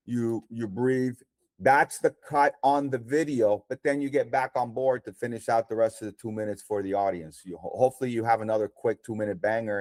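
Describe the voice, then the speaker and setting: A man's voice: medium pitch, a little mellow, no echo. A man in his forties from Colombia, native Spanish speaker with a Colombian accent, giving confident practical comedy advice as a guest in a casual chat. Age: 40s.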